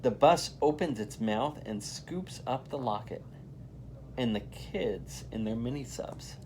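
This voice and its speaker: A volunteer reads a children's story in a lively, varied voice of medium pitch. There is faint noise in the background. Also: slow. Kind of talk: animated children's read-aloud.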